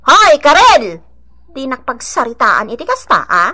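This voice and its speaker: An actress playing a gossipy, combative village wife in a radio drama, with a shrill, defensive, theatrical delivery. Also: female voice, huge pitch swings, a little slow, pushed and bright, heavily acted. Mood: furious. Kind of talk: Ilocano radio drama acting.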